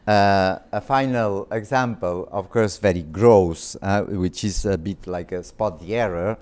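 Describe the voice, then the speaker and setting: A man's voice: sits low, measured pace, a little mellow. A middle-aged man with a French accent, a professor giving an academic lecture with many hesitations, fillers and restarts. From Spain. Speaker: visiting art history professor. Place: lecture hall.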